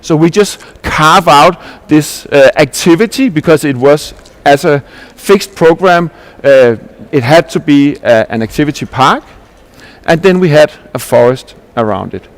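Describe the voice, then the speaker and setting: Male presenter, fairly high voice, earnest explainer, conference talk. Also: expressive, a little slow. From Denmark. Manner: earnest, explanatory, with frequent restarts and rhetorical questions. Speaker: landscape architect presenting at a panel.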